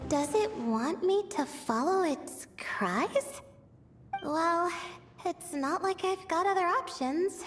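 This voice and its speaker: A woman voicing a gentle, sleepy, timid young student in an anime fantasy game, with a soft, girlish voice and hesitant, measured delivery. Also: very high voice, lively intonation, music in the background, full character voice. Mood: uneasy.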